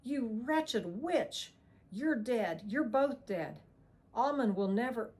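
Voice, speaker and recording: A woman's voice: medium pitch, lively intonation, a little slow, close to an everyday voice. A woman narrator voicing a cruel male hired killer who mocks and threatens in crude slang. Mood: enraged. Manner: mocking, crude backwoods slang, shouting threats.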